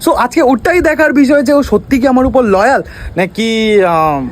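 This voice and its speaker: A man's voice: extremely high pitch, very animated, moderate pace. A man chatting casually to viewers as the host of a prank video. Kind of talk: casual vlog chat. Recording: faint background noise, phone recording.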